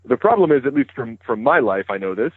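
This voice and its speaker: Fast-talking man on a phone line. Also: medium pitch, very animated, husky, no room sound. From United States. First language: Hebrew. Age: 30s. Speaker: interview guest, news correspondent. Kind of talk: phone interview conversation. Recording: dry, tinny phone line.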